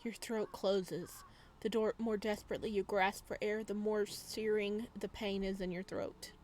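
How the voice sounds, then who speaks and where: A woman's voice: mid-range voice, little pitch movement, moderate pace, darkish tone, slight background noise. A woman gaming YouTuber reading visual novel text aloud, mixed with casual commentary.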